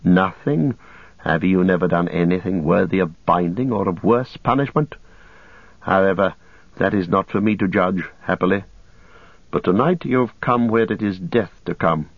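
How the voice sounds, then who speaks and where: A grave captain speaking with solemn authority, read by a male narrator in a lowish voice. His speech is formal and deliberate, with each sentence set down firmly. The recording is dry and slightly dull. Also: dry recording. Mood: stern, grave. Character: stern male captain passing judgment, grave and measured. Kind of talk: audiobook character voice.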